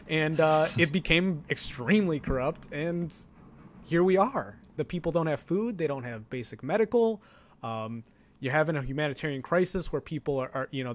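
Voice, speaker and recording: A man in his twenties from Ohio with an American accent, chatting casually about politics in a rambling, halting, animated way. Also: on the high side, slight background noise. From Ohio, United States. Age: 20s. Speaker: talk show participant discussing politics.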